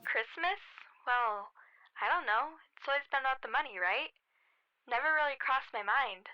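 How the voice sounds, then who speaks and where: A woman's voice: high-pitched, expressive, moderate pace. A woman playing a casual, sceptical young friend on a muffled telephone in a radio drama. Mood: doubtful, hesitant. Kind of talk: scripted radio drama acting. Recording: muffled telephone line sound.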